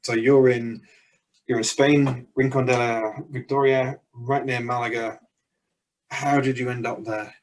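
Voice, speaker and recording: A man's voice: mid-range voice, a little slow. Man asking questions from off-mic. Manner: relaxed, conversational questioning. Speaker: podcast host. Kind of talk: casual podcast interview. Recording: voice distant from the mic.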